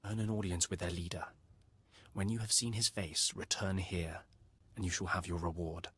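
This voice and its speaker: Low, husky Sith agent. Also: male voice, fairly even intonation, fairly bright tone. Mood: instructing. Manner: measured, formal, deferential. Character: formal, scheming male Sith agent serving a master. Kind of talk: video game voice acting.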